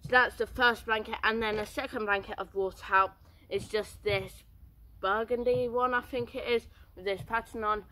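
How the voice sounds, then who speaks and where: A man's voice: high-pitched, steady tone, normal speed, sharp tone, a little hiss or hum, low-quality recording. A teenage boy, rambling casually through outdoor gear in a garden, with many fillers. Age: teens.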